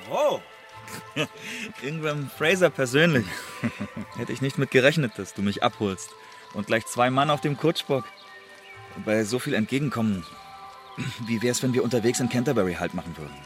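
Casting: a man as brash male spy for radio play, mid-pitched, insolent conversational delivery. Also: normal speed, pauses often, faint music behind, lightly acted. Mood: amused, breezy. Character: cocky, defiant male secret agent, hot-headed. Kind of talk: German radio drama acting.

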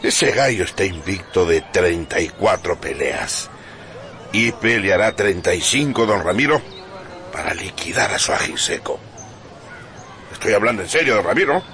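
A man's voice: low-pitched, lively intonation, normal speed, sharp tone. An actor playing a proud, stubborn father in a radio drama, speaking emphatically. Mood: defiant. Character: proud, stubborn father who keeps a fighting cock. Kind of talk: Spanish-language radio drama acting.

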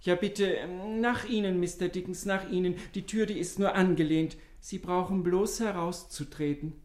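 A meek, superstitious small-time crook , played by a male actor in an old radio satire. His male voice sits fairly high, and he stammers politely and hesitantly, with a wily streak underneath. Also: fairly even intonation, moderate pace, darkish tone, natural voice. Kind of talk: 1950s German radio satire acting.

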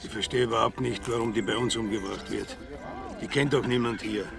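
Gravelly Austrian village man. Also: medium pitch, steady tone, fairly quick. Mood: baffled. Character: crude, mocking male villager gossiping about murders. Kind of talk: German radio crime drama dialogue. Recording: music behind the voice.

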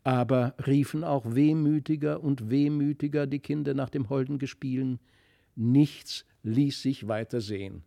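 A male narrator reads literary fairy tales expressively. His voice is soft-edged and mellow at a middle pitch. He tells the story in a measured, dramatic way, recorded very dry and close. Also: creaky, no room sound. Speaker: narrator, audiobook reader. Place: dry studio.

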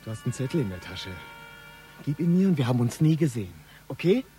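A man's voice: medium pitch, big pitch swings, fast, mellow, husky. An actor playing a wheedling, menacing criminal man who pushes and coaxes in a radio play. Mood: coaxing. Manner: pushy, coaxing. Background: music.

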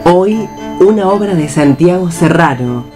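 Radio theatre narrator: woman, very low register, scripted scene-setting, prominent music underneath. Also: expressive, measured pace. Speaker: announcer and stage-direction narrator.

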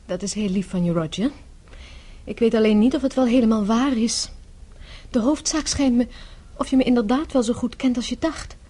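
An actress playing a composed, tough-minded young wife in a radio drama, explaining herself articulately. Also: female voice, fairly high pitch, normal speed, warm tone, breathy edge. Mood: cool, affectionate. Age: young adult. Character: self-possessed, tough-minded young wife explaining her undercover scheme.

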